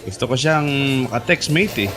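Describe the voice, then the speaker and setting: An actor with a Filipino accent playing an eager young buddy in a radio drama, over music. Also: male voice, mid-range voice, animated, moderate pace, close to an everyday voice. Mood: eager, amused. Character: eager young buddy asking for a girl's number. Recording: music under the voice.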